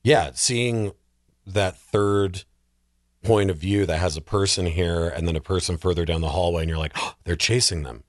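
A man with a low voice chats on a podcast about films and games. He is emphatic and opinionated, speaking casually. The sound is dry, with little room in it. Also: normal speed, no echo. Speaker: podcast panelist. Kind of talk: casual film and game discussion.

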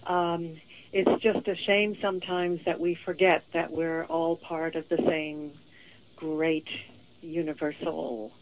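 A woman raised in Boston, a guest on a radio show, speaking in a calm and reflective way. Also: low voice, takes it slowly, a little hiss or hum. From born in Venezuela, raised in Boston. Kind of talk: radio interview. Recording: dull phone-line call-in.

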